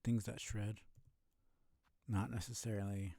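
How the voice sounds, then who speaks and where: A young man streaming a video game, muttering low-key commentary to himself and swearing when things go wrong. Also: little pitch movement, unhurried, vocal fry, dark tone, dry recording, studio quality. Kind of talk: casual gameplay commentary.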